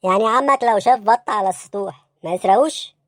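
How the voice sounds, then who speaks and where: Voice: mid-range voice, fast talker, no room sound, clean studio sound, lightly acted. A performer voicing a baffled, bossy police interrogator in an Egyptian comedy cartoon, brusque and insistent, with an Egyptian accent. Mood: incredulous.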